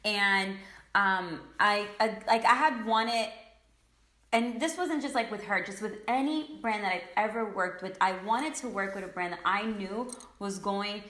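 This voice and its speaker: A young woman guest in a casual interview, relaxed, with many likes and ums. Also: medium pitch, a little slow. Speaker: guest, makeup influencer. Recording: echoey room.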